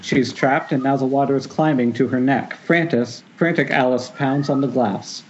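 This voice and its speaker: A man's voice: mid-range voice, fairly even intonation, normal speed. A man reading screenplay directions aloud at an informal table read over an internet call, sometimes stumbling and restarting. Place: remote group Skype call. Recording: dull, noisy internet call.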